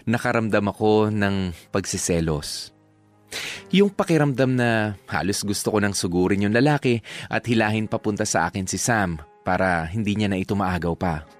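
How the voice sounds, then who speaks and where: A man's voice: lowish voice, natural voice. A man with a Filipino accent acting a jealous, sulking young man narrating his own story in a drama. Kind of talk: first-person drama narration.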